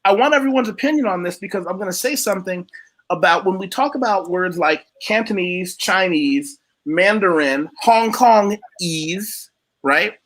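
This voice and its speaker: A man's voice: sits high, animated, moderate pace, fairly bright tone. A man hosting a casual live chat, speaking in a blunt, opinionated storytelling manner.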